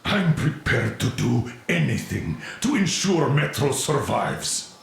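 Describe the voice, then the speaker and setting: Game casting: hard, driven commander, middle-aged, slow and gravelly, commanding. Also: male voice, medium pitch, big pitch swings, slight background noise, full character voice. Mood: grim, forceful. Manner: emphatic, commanding. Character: hard, driven military commander protecting his people. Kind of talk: dramatic video game acting. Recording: very echoey, reverberant room sound.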